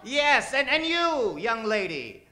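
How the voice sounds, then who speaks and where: A man's voice: very high-pitched, sing-song, slow, bright, forceful tone. A man hosting a live comedy radio show from a theater stage, bantering with the audience and drawing out names in a theatrical announcer style.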